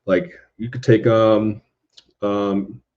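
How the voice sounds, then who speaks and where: A man's voice: lowish voice, flat delivery, slow, dull audio. A man co-hosting a podcast, talking casually and informally with rhetorical asides.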